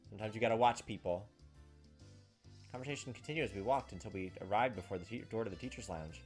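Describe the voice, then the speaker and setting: A man's voice: fairly low pitch, fast talker. An American man reading a game script aloud as a let's play host, with informal, salty asides. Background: faint game music.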